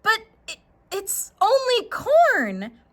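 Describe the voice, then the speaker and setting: Woman as a high-voiced, plaintive farm girl. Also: wildly swooping pitch, extremely slow, fairly bright tone, heavily acted. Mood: doubtful. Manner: plaintive, hesitant. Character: young farm girl, earnest, anxious and doubtful.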